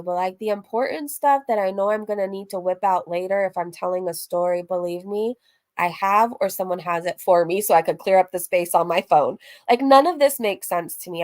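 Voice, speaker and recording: A woman streamer chatting casually through a recap, reading messages aloud, candid and rambling, breaking off into asides and dropping casual swear words. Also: medium pitch. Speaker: streamer and host of a reaction livestream.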